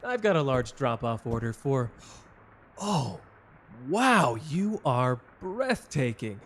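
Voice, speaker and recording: A man playing a flirtatious, teasing detective in an audio drama, flippant and melodramatic in his smooth talk. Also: medium pitch, sing-song, slow pace, fairly bright tone, heavily acted. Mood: flirty, dramatic.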